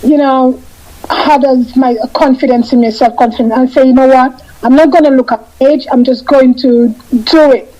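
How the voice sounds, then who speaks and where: A woman in her fifties chats as a radio guest in a high, mellow voice. She stops and restarts often, repeating words as she gathers her thoughts. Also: fairly even intonation, muffled recording. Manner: halting, repeats and restarts phrases. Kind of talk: casual radio interview chat.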